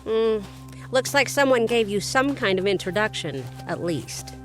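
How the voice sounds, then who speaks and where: A woman's voice: medium pitch, lively intonation, unhurried, soft background music, natural voice, rough recording. A woman playing a streetwise female Nosferatu vampire in an audio drama, blunt and slangy. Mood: brusque, casual. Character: Grace, twisted-faced female Nosferatu vampire, brash, streetwise.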